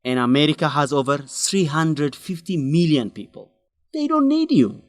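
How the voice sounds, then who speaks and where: An earnest young guy in a radio play, fairly high voice that swings up and down a lot, lively and scolding, recorded close and dry with no room sound. he presses his friend hard and sounds sure of his own principles. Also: moderate pace, no echo. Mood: pleading, scolding. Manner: animated, urging, scolding. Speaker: friend and fellow researcher. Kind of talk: radio drama acting.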